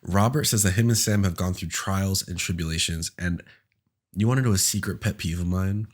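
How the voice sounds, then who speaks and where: A man's voice: sits low, a little fast. A young man co-hosting a podcast, congested from a cold, chatting casually with campy, dramatic rants and frequent laughs. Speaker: podcast co-host. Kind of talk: casual chat recapping a reality show.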